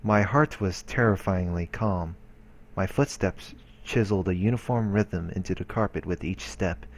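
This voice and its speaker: Low-voiced man reading a visual novel aloud. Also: a little mellow. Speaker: let's play host. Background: background game music.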